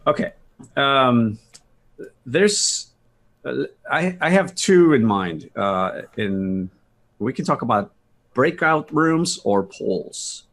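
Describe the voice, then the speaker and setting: A man with a gravelly, husky voice giving a casual software walkthrough on a video call, thinking aloud hesitantly. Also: medium pitch, expressive, slow pace. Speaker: guest demonstrating software.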